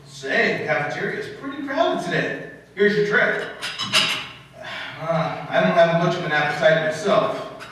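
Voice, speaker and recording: A man's voice: on the high side, very animated, a little slow. Earnest, mild-mannered schoolteacher played by a man, radio comedy, distant and very echoey. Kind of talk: old-time radio comedy acting. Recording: echoey hall, distant mic, clear noise.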